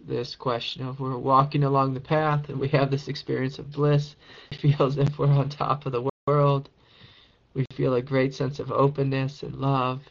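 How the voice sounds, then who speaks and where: A man with a raspy voice, a meditation teacher answering a question in a calm, encouraging spiritual talk. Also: medium pitch, highs cut off. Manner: gentle, calm and encouraging, with soft laughs.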